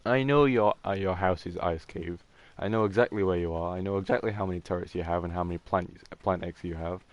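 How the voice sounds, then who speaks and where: A young man with a deep voice, rambling casually as he plays a game and talks over voice chat. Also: a little fast, no echo, highs cut off. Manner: rambling, chatty, trailing off mid-thought. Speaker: gaming streamer. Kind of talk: casual gameplay commentary with voice chat.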